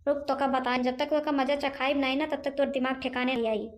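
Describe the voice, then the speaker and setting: A woman's voice: high voice, fairly even intonation, fast talker, close to an everyday voice. A woman voicing a bullying witch ghost in a cartoon comedy, threatening and taunting in an Awadhi accent. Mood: threatening, gloating. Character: bullying witch ghost claiming her haunted mansion.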